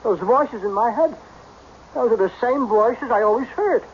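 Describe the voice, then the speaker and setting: An actor playing a frantic, practical businessman in an old-time radio drama, with an agitated, halting, intense delivery. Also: extremely high pitch, animated. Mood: bitter, despairing. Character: frantic, practical businessman, magically made younger. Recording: dull, noisy old radio recording.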